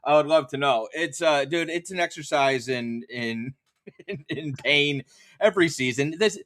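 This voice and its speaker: A man's voice: a little high, unhurried, fairly bright tone. A man who works as a play-by-play announcer, chatting casually about sports as an interview guest in a wry, jokey way.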